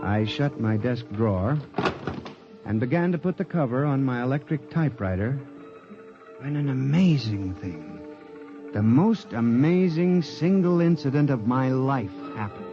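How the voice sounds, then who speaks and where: A man's voice: medium pitch, animated, a little slow. An American actor playing an anxious, earnest statistician who narrates his own story in a 1950s radio drama, with intense, dramatic delivery. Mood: haunted, uneasy. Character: anxious, earnest statistician clerk, unhappy and haunted. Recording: dull 1950s radio recording, music behind.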